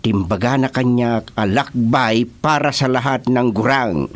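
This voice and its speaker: A male actor with an Ilocano accent playing an excitable, joking village senior in a rural comedy radio drama. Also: medium pitch, measured pace, background noise, natural voice. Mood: teasing, amused. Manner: teasing, animated, colloquial.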